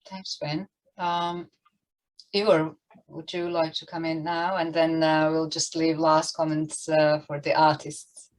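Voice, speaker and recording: A woman with a low voice hosts an online panel discussion. Her pitch stays very even, and her phrasing is hesitant and halting as she steers the event. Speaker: event moderator.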